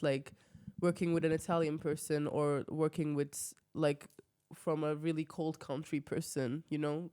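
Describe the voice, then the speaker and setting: A young woman from Turkey co-hosting a podcast in casual chat, with an animated, giggly way of telling stories. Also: sits low. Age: young adult. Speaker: podcast co-host.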